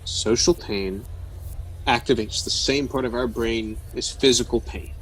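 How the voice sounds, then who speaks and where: A man's voice: medium pitch, a little slow, fairly bright tone, breathy edge, rough recording. An actor playing a blunt, flirtatious man who talks like a probing amateur therapist in an audio drama. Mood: earnest, explanatory. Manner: frank, probing, explanatory.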